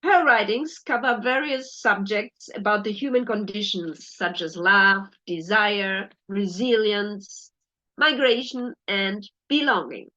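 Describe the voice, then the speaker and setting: A woman's voice: mid-range voice, pushed and bright. A woman moderating an online poetry reading, introducing poets and guiding them through the call patiently. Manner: slow, patient announcing. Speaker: event moderator. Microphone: video call. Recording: dull lo-fi video call.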